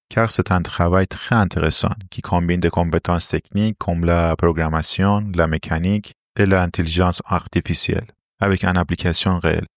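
Low-voiced Iranian-accented man, formal and explanatory. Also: no room sound, clean studio sound. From Iran. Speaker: interview guest, robotics R&D engineer. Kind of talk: prepared interview answers.

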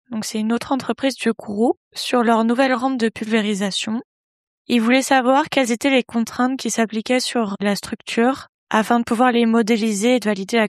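A young woman from the Paris region, an engineering intern, presenting herself and her internship in a measured, orderly way. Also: fairly high pitch, no echo, studio quality. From Paris region, France. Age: young adult. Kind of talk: scripted self-presentation.

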